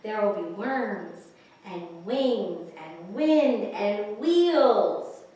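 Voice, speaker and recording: A woman reading picture books aloud to young children, pausing to explain the pictures and ask questions. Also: medium pitch, lively intonation, warm tone. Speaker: children's librarian, story time host. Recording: echoey, distant microphone, faint hiss.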